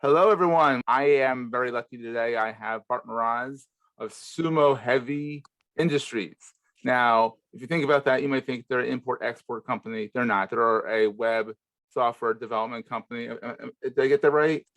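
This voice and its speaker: A man hosts a podcast in a relaxed conversational style. His voice sits at a medium pitch. The sound is dry, with very little room in it. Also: normal speed, no echo, low-quality recording. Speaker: podcast host. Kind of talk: casual conversational interview.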